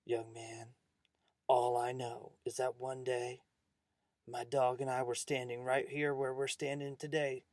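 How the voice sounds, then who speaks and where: A man's voice: medium pitch, little pitch movement, unhurried, darkish tone, no echo, studio quality, lightly acted. A man voicing a stern, haunted farmer in a spooky story, with ominous folksy talk. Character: gruff old farmer warning schoolboys, haunted and stern.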